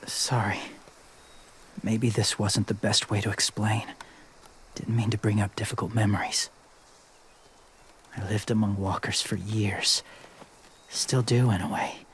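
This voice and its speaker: An actor playing a gentle, solemn young survivor in a video game drama, soft-spoken, hushed and earnest. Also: male voice, on the low side, flat delivery, measured pace, pauses often, a little hiss or hum. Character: gentle, solemn young male survivor living among walkers.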